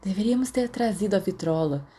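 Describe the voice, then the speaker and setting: A cocky teenage schoolboy with a Brazilian accent, acted out by a woman reading a story. He is playful and a little haughty, with a soft, dark tone. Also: medium pitch, lively intonation, quick pace, slightly rough. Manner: teasing, haughty.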